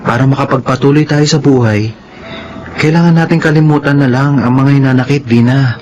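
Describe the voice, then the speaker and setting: A man playing a supportive friend in a radio drama, gently reassuring and giving counsel. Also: mid-range voice, fairly quick, a little hiss or hum, natural voice. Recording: dull radio sound, music behind.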